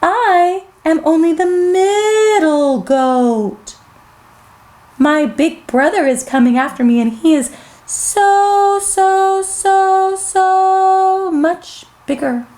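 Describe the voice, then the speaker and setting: A woman's voice: very high-pitched, drawn-out words, a little mellow, voice pushed up, heavily acted. A woman voicing a small, timid young male billy goat from a children's storybook, pleading in frightened cries. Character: littlest and middle billy goats, small timid males.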